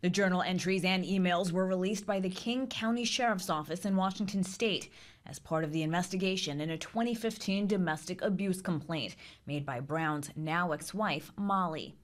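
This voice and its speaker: A woman reporter narrating a packaged news story in a serious, deliberate way. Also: lowish voice.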